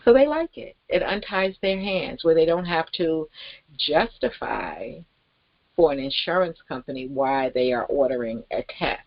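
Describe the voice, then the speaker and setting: A woman giving an informal, rambling promotional talk as host, with halting, emphatic delivery and pauses mid-sentence. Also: low voice, lively intonation, dry recording, dull audio. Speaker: network marketing promoter and host.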